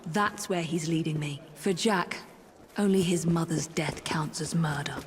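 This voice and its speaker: A woman's voice: low voice, unhurried, noisy background. An actress playing a determined young female assassin in a video game cutscene, speaking in a resolute, searching way. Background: game score music.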